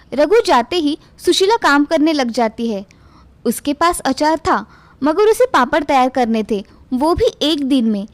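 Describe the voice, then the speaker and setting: A woman's voice: high-pitched, no echo. A woman narrating a scripted moral story for children.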